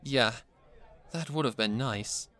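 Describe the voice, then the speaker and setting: A man voicing a composed, kindly female pony musician in a story, calm and reassuring like an older sister. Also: medium pitch, slow pace, a faint crowd. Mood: gently amused.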